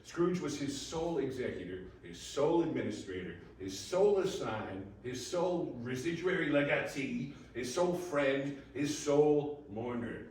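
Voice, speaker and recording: A male narrator heard at a distance in a strongly reverberant room, with faint noise. His mid-pitched voice delivers a story in deliberate, theatrical phrases, leaning on each emphasis. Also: expressive, a little mellow.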